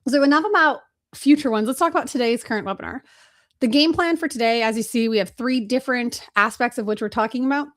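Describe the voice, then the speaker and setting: High-ish energetic lady from chicago, runs a live webinar, talks fast and blunt, lots of ums. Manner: energetic, direct, fast-talking with fillers. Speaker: webinar host and agency trainer.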